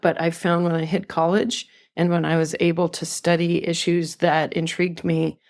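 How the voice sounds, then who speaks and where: A woman's voice: on the low side, gravelly. An older woman from California, a podcast guest talking earnestly and reflectively about her life. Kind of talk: conversational interview.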